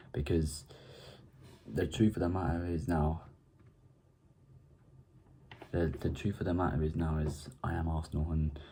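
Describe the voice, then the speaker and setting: A young man talks through an upcoming football match in a loose, rambling way, doubling back over his own words. His voice sits very low and sounds mellow and unforced. Faint clicks can be heard behind him. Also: slight background noise. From Ireland. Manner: rambling, repeats and restarts words. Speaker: football fan channel host. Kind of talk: casual match preview monologue.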